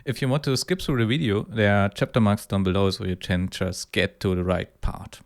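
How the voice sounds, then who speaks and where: A man's voice: fairly low pitch, steady tone, normal speed. A man talking casually to camera about a personal hobby project, thinking aloud and correcting himself as he goes. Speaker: hobby guitar builder presenting own project.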